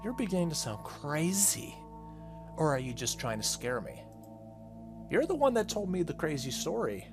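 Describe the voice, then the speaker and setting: A man's voice: fairly high pitch, sing-song, moderate pace, over music, voice pushed up. Man reading as an uneasy youngster in a horror game. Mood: uneasy, questioning. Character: uneasy slumber-party youngster in a horror visual novel.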